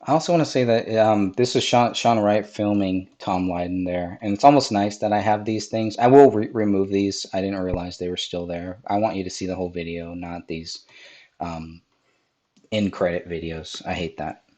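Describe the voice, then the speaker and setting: Male stream host, low and raspy, hoarse from a cold, loose rambling delivery. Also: steady tone, moderate pace, darkish tone. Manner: rambling, with many restarts and fillers. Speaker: host of a livestream. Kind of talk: casual commentary over video clips.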